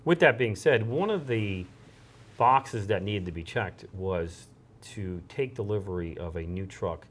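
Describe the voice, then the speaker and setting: A man's voice: fairly low pitch, animated, measured pace. A man speaking as an interview guest, a fire chief explaining things conversationally.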